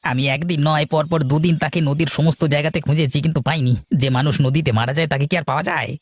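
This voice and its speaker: A man's voice: fairly high pitch, fairly even intonation, quick pace, no room sound, natural voice. A man voicing a sly cartoon villager who laments in a whining, over-dramatic way. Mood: mock mourning. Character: sly villager feigning grief over a drowned friend. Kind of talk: melodramatic cartoon dubbing.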